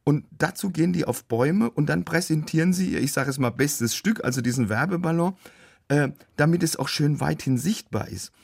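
A man with a cold and a rough, husky voice, explaining things as a biologist guest on a radio show. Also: fairly high pitch, lively intonation, brisk. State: has a cold. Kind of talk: explanatory chat.

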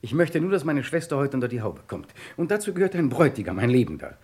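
A brisk police detective for a radio crime drama. He is dry-humored and protective, and his mid-pitched voice moves in lively swings. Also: slightly rough. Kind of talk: German crime radio play acting.

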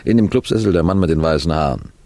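A man playing a composed, clever police detective, recounting a case in measured, precise radio mystery narration. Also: very deep voice, expressive, dark tone. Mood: explaining, precise. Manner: measured, precise, deliberate storytelling.

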